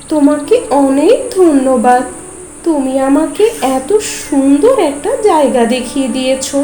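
A woman's voice: high-pitched, warm tone, reverberant, a little hiss or hum, poor audio. A woman voicing a worried little mother bird. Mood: grateful. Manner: pleading, worried. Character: anxious little female tailorbird mother.

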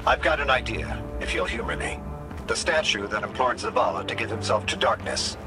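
An actor playing an intense, blunt guide in a video game, with an urgent, challenging way of talking. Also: male voice, medium pitch, a little fast, loud music behind the voice, natural voice. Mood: determined. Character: male guide tracking his commander, intense, defiant, blunt.

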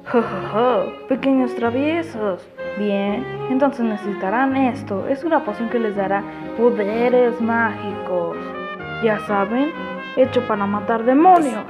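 Una mujer dando voz a un abuelo anciano, bonachón y evasivo, que ríe de forma jovial, en un doblaje de fans de caricatura. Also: female voice, a little high, unhurried, natural voice. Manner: jolly chuckling. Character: old grandfather, kindly and evasive. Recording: music playing behind.

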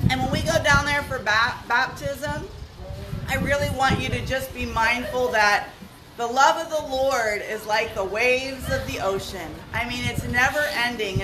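High-voiced woman preaching, echoey and distant. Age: middle-aged. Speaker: church worship leader. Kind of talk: sermon welcome and prayer. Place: open beach pavilion outdoors. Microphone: camera a few steps away. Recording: distant outdoor mic, echo, clear noise.

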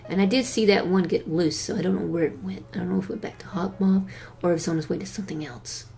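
A woman voicing a devoted, protective young male cat superhero in an audio drama, with earnest, tender, halting delivery. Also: fairly low pitch, creaky, mellow. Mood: reassuring. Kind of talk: fan audio drama acting. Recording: music under the voice.

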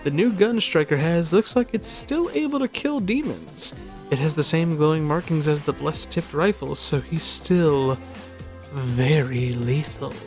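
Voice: mid-range voice, unhurried, a little mellow, soft background music. A narrator reading scripted episode commentary with casual asides. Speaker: video narrator presenting episode facts.

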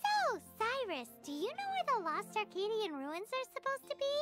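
A woman voicing a tiny, excitable fairy companion who speaks of herself in the third person, in a high, squeaky, childlike voice. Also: moderate pace, over loud music. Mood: eager. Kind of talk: game cutscene dialogue.

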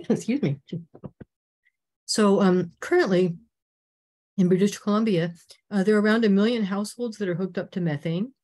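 An older woman from Canada giving an earnest, informative slide talk on a video call, clearing her throat now and then. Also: medium pitch, normal speed, long pauses, darkish tone. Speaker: presenter.

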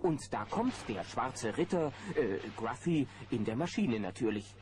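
A man's voice: mid-range voice, very animated, measured pace, darkish tone. A man narrating a children's adventure audio play as an engaged storyteller. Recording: noisy old cassette recording.

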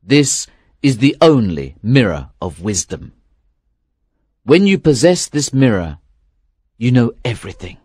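A man's voice: mid-range voice, very animated, a little slow, pauses often, darkish tone, husky, no room sound, studio quality. A man voicing a frightened temple priest who pleads and then explains earnestly. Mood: explaining.

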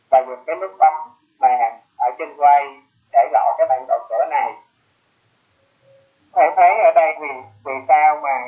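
A man giving a live technical presentation in an explanatory way, halting and pausing mid-phrase. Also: fairly high pitch. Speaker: webinar presenter. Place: online video conference. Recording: muffled phone-like web call audio.

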